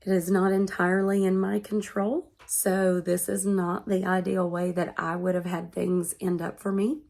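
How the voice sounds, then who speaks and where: A woman in her thirties, congested and hoarse from being sick, talking earnestly to her vlog audience. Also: on the low side, flat delivery, a little mellow. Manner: slow, earnest and measured. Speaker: vlogger. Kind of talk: personal vlog monologue.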